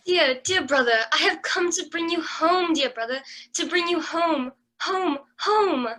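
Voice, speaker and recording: A woman's voice: very high voice, unhurried, heavily acted. A woman playing an eager, affectionate young girl in a radio play, gushing and earnest. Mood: joyful, eager. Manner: gushing, earnest, repeating words excitedly.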